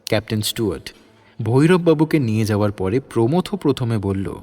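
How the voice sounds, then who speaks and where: A man's voice: medium pitch, animated, fairly quick, warm tone. A man with a Bengali accent giving scripted narration for an audio story.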